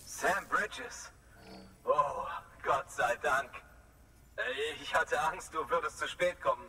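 A man's voice: a little high, takes it slowly, recorded from a distance, background noise. An actor playing an anxious, relieved male scientist in a dubbed video game, speaking earnestly and confidingly. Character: anxious, relieved male scientist hologram, devoted to his research.

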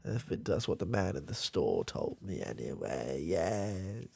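A young man voicing a confused, rambling old grandfather with a gravelly, husky voice, in a comedy ASMR roleplay recorded close in a small dark room. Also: deep voice, big pitch swings, no room sound, voice pushed down, exaggerated voice. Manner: rambling, halting, trailing off, chuckling. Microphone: close studio mic, camera near face.

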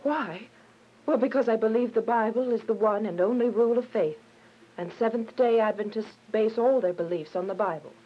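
A woman's voice: fairly high pitch, normal speed, a little hiss or hum, natural voice. A woman playing an earnest, devout young prisoner, narrating her story in a radio drama. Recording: dull recording with music behind. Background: music.

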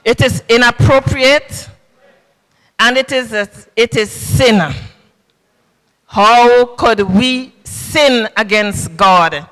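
A woman's voice: a little high, drawn-out words, a bit bright. A woman in her fifties preaching a sermon at a podium into a handheld mic, emphatic and preacherly with sing-song cadences. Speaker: government official preaching a sermon. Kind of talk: sermon at a public service church service. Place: large indoor hall at a podium. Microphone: handheld microphone. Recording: long reverb in a large hall.

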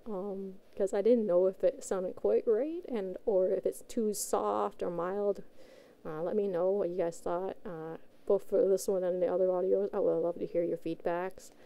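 Casual woman with a mellow voice. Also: mid-range voice, gravelly. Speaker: amateur voice actor, channel host. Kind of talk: casual rambling outro to listeners.

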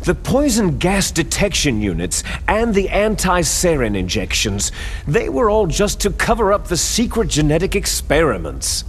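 An actor playing a bitter, fanatical villainous clone soldier in a video game, arrogant, menacing and condescending, with drawn-out theatrical phrasing. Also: male voice, high voice, wildly swooping pitch, slightly rough, full character voice. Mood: grave, revealing. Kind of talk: video game cutscene acting. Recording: music behind the voice.

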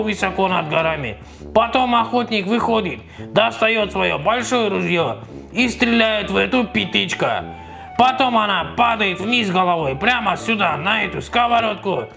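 Man putting on an effusive, high-pitched toy chef. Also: creaky. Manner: wheedling, sing-song salesman patter. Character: effusive, pushy male station snack cook, toy chef.

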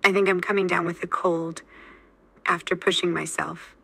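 A woman's voice: on the low side, level tone, moderate pace, gravelly, bright, forceful tone, slightly rough, no echo. A woman's AI-generated voice repeating model sentences steadily for a language lesson. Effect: AI text-to-speech voice. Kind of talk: scripted sentence drills.

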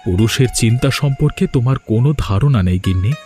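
A man's voice: medium pitch, fast, warm tone, faint music behind, natural voice. A man voicing a humble, hardworking village husband in a cartoon, speaking in an earnest, explanatory way. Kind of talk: cartoon dialogue.

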